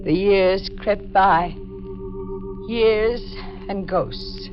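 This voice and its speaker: An actress playing a tormented, haunted wife, with intense, dramatic delivery in 1940s radio drama style. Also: female voice, medium pitch, drawn-out words, over music. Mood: haunted, somber. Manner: dramatic, intense, clipped phrases. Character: tormented, haunted wife telling her dark story. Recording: muffled vintage 1940s radio recording.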